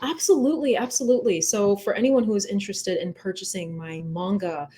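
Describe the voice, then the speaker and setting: A woman's voice: medium pitch, a little fast, dark tone. A woman speaking as a podcast guest, explaining things casually, politely and in detail, often correcting herself. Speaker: podcast guest, manga creator.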